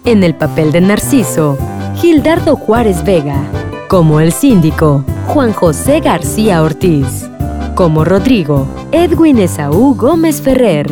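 A woman's voice: fairly low pitch, big pitch swings, a little slow, over music. A woman reading scripted programme credits as an announcer.

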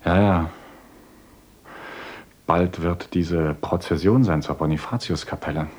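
An idealistic young teacher who asks mild, hesitant questions. His voice is deep and husky. He sounds earnest and a little naive. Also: fairly even intonation, measured pace, a little mellow. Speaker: new village teacher in a radio play. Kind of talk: radio drama acting.

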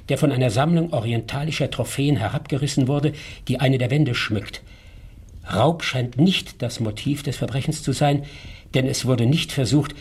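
An actor playing a shrewd, composed private detective in a German radio drama, speaking in a measured, formal way. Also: male voice, medium pitch, darkish tone, breathy edge.

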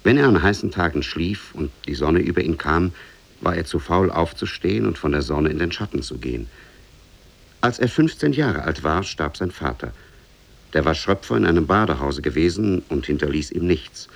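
An actor playing the storyteller of an Arabian Nights tale in a 1950s radio drama, measured and literary, with a standard German accent. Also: male voice, deep voice, expressive, vocal fry, exaggerated voice. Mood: measured storytelling. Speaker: narrator.

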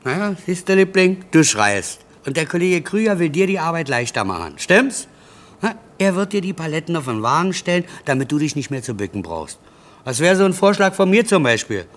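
An actor in a German radio drama playing a flippant, needling factory workmate with a mocking, jovial manner. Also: male voice, a little high, big pitch swings, a little fast. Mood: teasing, placating.

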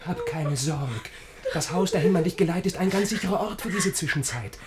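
A man's voice: high-pitched, fairly quick, a crowd in the background, close to an everyday voice. An actor playing an eager, trusting young lover in a theatrical verse radio comedy, telling his tale effusively. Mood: tender reassurance. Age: young adult.

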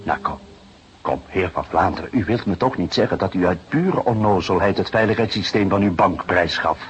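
An actor playing a shrewd, unruffled police inspector who questions in a measured, drily insistent way in a detective radio drama. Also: male voice, deep voice, a little hiss or hum, close to an everyday voice. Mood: pressing, accusing.

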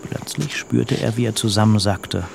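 A man narrating a crime story for a radio drama in a grave, detached, measured way. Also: lowish voice, gravelly, background noise.

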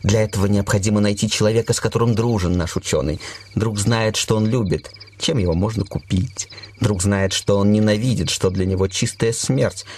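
An actor playing a cool, scheming prime minister with a measured, suave and commanding manner. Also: male voice, lowish voice, darkish tone, a little hiss or hum, lightly acted. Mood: smoothly scheming. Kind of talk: Russian stage-comedy radio acting.

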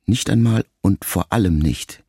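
A man acting in a radio play as a grown son addressing his mother in a sombre, tender monologue, measured and deliberate. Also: sits low, warm tone, breathy edge, no room sound, studio quality, heavily acted. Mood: quiet, tender.

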